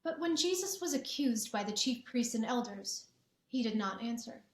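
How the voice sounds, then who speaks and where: Woman narrator, higher voice, echoey church room, careful reading. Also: lively intonation, normal speed. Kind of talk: scripture narration. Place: church sanctuary.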